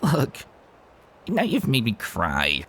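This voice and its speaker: A woman as a brash young mare, wildly expressive. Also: rumbling low, slow, breathy edge, full character voice. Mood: playfully tearful. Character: brash, excitable young female pony, tough but tearfully in love. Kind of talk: dramatic fan fiction reading.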